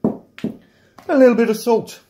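A man's voice: very high voice, slow pace, warm tone, slight background noise. A man chatting casually through a home cooking video, explaining each step with self-mocking asides. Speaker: home cook. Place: small home kitchen.

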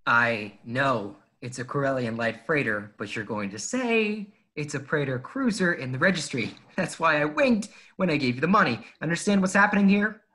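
A man's voice: a little high, animated, normal speed, hardly any pauses, pushed and bright. A man playing a cocky, easily exasperated starship pilot in a casual, wry way at a script table read. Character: cocky, exasperated young male starship pilot in sci-fi film. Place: home, over video call.

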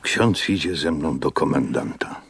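Weary old innkeeper in a radio play, solemn n slow, super low voice. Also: male voice, lively intonation, breathy edge, full character voice. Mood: quietly resolute. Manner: solemn, measured. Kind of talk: Polish radio drama narration and monologue.

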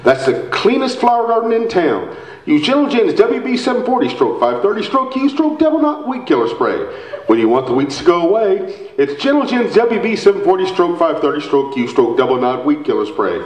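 A man playing an emphatic pitchman in a parody old-time radio commercial performed on stage. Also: big pitch swings, brisk, a little mellow. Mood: selling. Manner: emphatic, repetitive sales patter. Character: mock radio pitchman selling weed killer. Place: theatre stage, indoors. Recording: roomy stage, distant microphone.

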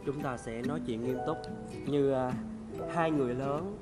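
A man's voice: medium pitch, normal speed, music in the background. A man in his twenties with a southern Vietnamese accent, hosting a casual chat with playful, teasing banter. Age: 20s. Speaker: talk show host. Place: indoor talk show set styled as an old shop.

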